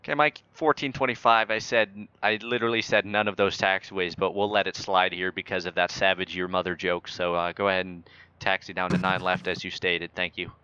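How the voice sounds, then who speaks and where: A man's voice: deep voice, little pitch movement, a little fast, bright, forceful tone, clear, resonant tone, no echo, muffled recording. A man talking as an air traffic controller in a flight simulator game, joking in mock-official radio phrasing.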